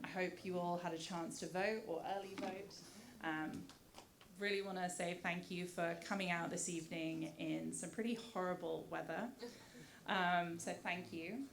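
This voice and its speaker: A woman's voice: fairly low pitch, moderate pace, gravelly, crowd noise. A woman who grew up in the UK and speaks with a mixed British accent, addressing a community meeting as director of community affairs, polite and thankful, with many "uh" fillers. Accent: British with some American mix. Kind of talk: prepared public remarks at a community meeting.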